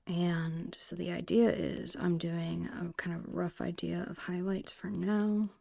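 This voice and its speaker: A woman's voice: on the low side, little pitch movement, measured pace, darkish tone, dry recording, clean studio sound. A woman whispering in an ASMR style, casually thinking aloud in short phrases as she narrates her own digital painting. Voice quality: breathy whisper.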